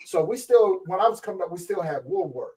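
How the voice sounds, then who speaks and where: A man in his fifties from Virginia, telling stories in a relaxed way with lots of fillers. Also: high voice, quick pace. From Virginia, USA. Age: 50s. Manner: laid-back, loose storytelling with many fillers. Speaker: podcast guest. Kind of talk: casual chat. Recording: distant mic with faint noise.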